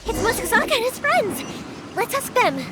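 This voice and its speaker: A woman's voice: very high-pitched, sing-song, moderate pace, noisy background. A woman playing an earnest, friendly young fireworks maker in a video game. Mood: worried, urgent. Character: cheerful, caring young woman who makes fireworks. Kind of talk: animated video game voice acting.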